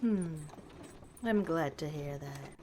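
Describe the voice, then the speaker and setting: A woman voicing a proud but softening battle-scarred orc warrior wife in an intimate ASMR roleplay, speaking tenderly and haltingly. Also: low-pitched, lively intonation, slight background noise. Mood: playful, warm. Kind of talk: ASMR roleplay, intimate one-sided dialogue. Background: outdoor ambience, horse hooves.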